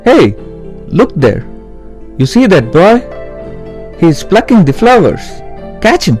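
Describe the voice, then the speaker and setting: A man voicing an officious palace guard who speaks slowly and emphatically. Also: high voice, sing-song, darkish tone, faint music behind, exaggerated voice. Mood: alarmed. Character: blunt palace guard and boastful magician.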